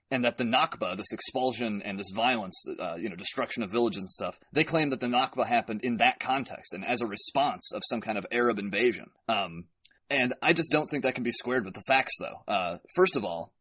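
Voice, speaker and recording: A man's voice: on the low side, fairly bright tone, breathy edge, no echo. A man speaking as a podcast guest, explaining history in an earnest, detailed way. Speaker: podcast guest, political commentator. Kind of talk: explanatory interview talk on history.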